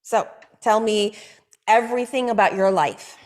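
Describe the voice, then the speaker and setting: A woman's voice: medium pitch, unhurried, lightly acted. An actress at a table read playing an awkward, hesitant young woman who writes scripts.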